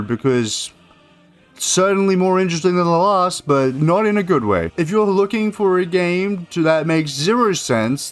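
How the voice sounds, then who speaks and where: Sarcastic, sweary man reviewing video games. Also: on the high side. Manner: sarcastic, heavily profane. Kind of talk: casual gameplay review commentary.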